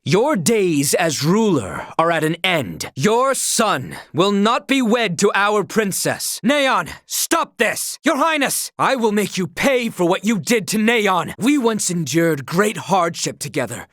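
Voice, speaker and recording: A man's voice: sits high, big pitch swings, slow, few pauses, fairly bright tone, no room sound, clean studio sound, heavily acted. A man voicing a loyal, duty-bound young knight in a video game, speaking in a formal, stately, earnest way. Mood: furious, anguished. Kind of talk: video game voice acting, formal archaic dialogue.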